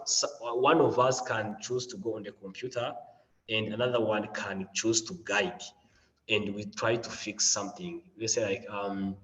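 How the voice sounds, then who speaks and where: A man's voice: fairly low pitch, a bit bright, long reverb tail, low-quality recording. A man with a mild flu presenting a learning module on a video call, upbeat, with many fillers and repeated phrases. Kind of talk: online teaching session.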